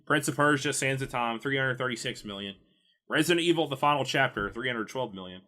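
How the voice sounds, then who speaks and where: Bright-voiced man chatting casually. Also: medium pitch, brisk. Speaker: podcast co-host. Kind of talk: casual chat about video game movies.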